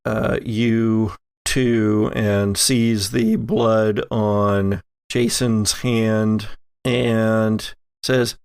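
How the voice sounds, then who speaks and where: A man narrating a horror tabletop game as game master, with a halting, deliberate delivery. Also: fairly low pitch, flat delivery, darkish tone, clear, resonant tone, no room sound.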